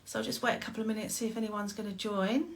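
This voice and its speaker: A woman's voice: medium pitch, steady tone, very fast. A middle-aged woman hosting a live interview over a video call, upbeat and enthusiastic. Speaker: host, therapist and coach.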